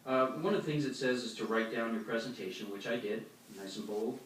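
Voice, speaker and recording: A man's voice: medium pitch, steady tone, fairly quick. A man giving an instructional religious talk to a congregation in a plain-spoken, explanatory way, reading out anecdotes as he goes. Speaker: congregation speaker giving a demonstration. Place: echoey meeting hall before an audience. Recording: echoey hall, distant mic, faint noise.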